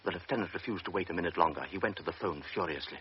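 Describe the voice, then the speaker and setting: A man narrates a radio drama with grave, measured storytelling. His voice is very low. The recording is dull, with steady hiss behind him.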